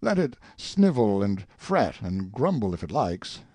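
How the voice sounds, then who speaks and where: A man's voice: fairly low pitch, sing-song, unhurried, darkish tone, husky, dry recording, heavily acted. A man voicing a haughty, self-pitying tyrant king who muses aloud with pompous sardonic scorn in an audiobook monologue. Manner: pompous, theatrical, sardonic musing.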